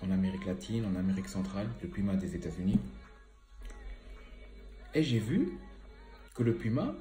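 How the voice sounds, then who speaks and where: A man's voice: low-pitched, very animated, normal speed, dark tone, reverberant, faint music behind. A man with a cold and a slightly stuffy voice, giving a casual, rambling commentary full of hesitations and fillers. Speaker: environmental vlogger.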